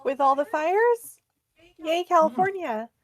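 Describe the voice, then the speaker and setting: A woman's voice: high voice, animated, measured pace. A woman playing a tabletop game and chatting casually. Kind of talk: casual chat.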